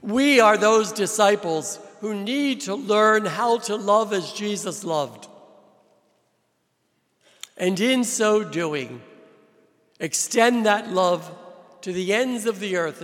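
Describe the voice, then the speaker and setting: A man preaching a sermon as a visiting priest, from New Jersey, with slow, deliberate delivery and emphatic rises. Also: sits high, fairly bright tone. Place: large church with long reverb. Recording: reverberant church hall.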